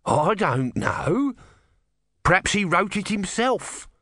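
A man's voice: sits high, big pitch swings, slow pace, a bit bright, no room sound, clean studio sound, voice pushed up, full character voice. A man voicing a grumpy small god trapped as a tortoise, evasive and sardonic, in a storybook reading. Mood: irritable.

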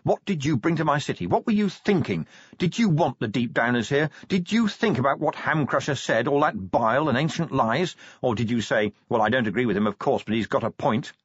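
A tough, scornful watch commander barks his orders, pitched higher than the reader's own husky tone, as a male narrator puts him on. His delivery swings lively and blunt. The sound is dry and a little dull, like a close audiobook mic. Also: a little fast, dry recording. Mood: furious. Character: gruff tough middle-aged male city watch commander. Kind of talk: audiobook character voice.

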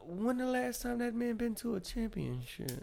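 A man in a casual sports debate, ranking quarterbacks with playful, laughing, drawn-out emphasis. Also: very high voice, sing-song, gravelly, a crowd in the background.

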